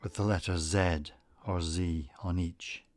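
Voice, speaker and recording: A man with a low-pitched voice leads a guided meditation at a very slow pace. His delivery is calm, deliberate and scripted, with gentle instructions. The sound is close and dry. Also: no echo.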